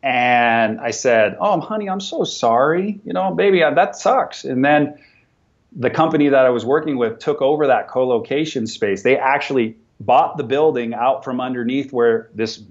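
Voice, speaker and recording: A man in his forties, a business owner talking as an interview guest in an energetic, motivational way, often repeating words as he starts sentences. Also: medium pitch, normal speed, highs cut off. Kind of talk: conversational interview.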